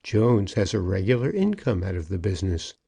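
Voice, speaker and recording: A man's voice: low voice, sing-song, brisk, creaky, dark tone, husky, exaggerated voice. A man voicing a pompous, earnest junior business partner, formal and insistent, in an audiobook. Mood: firm. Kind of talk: audiobook character acting.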